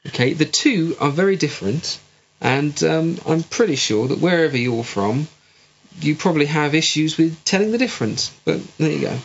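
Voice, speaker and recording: A man's voice: on the high side, animated, normal speed, vocal fry, husky. A man with a West Country accent co-hosting a casual podcast, bantering dryly. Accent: West Country English. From West Country of England. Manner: dry, jokey banter. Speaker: podcast co-host. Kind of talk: casual chat. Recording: lo-fi home recording with hum. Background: faint hum.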